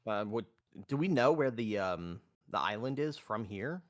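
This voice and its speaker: A man's voice: on the low side, lively intonation, normal speed. A man chatting casually as a tabletop game player, hesitant and thinking aloud as he speaks.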